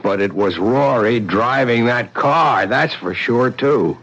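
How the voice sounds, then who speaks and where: An actor playing a worried, broke innkeeper in an old radio drama, earnest and determined. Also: male voice, mid-range voice, animated, slow pace, fairly bright tone, husky, full character voice. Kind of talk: 1970s radio drama acting. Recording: dull, faintly hissy old radio broadcast.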